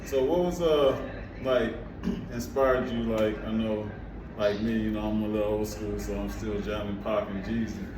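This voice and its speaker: Laid-back man hosting, flat voice, echoey. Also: lowish voice, moderate pace, background noise. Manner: laid-back slangy talk, lots of "you know what I'm saying". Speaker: interview host. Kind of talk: casual interview chat. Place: outdoors in a city. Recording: echoey, from a distance, loud music behind.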